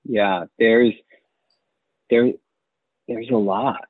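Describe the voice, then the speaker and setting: Man, lowish voice, tinny phone-like sound, dry, measured, repeats himself. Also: fairly even intonation, no room sound. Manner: measured, repeats phrases for emphasis. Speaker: interview guest. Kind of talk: reflective personal storytelling. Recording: muffled phone-like line, very dry.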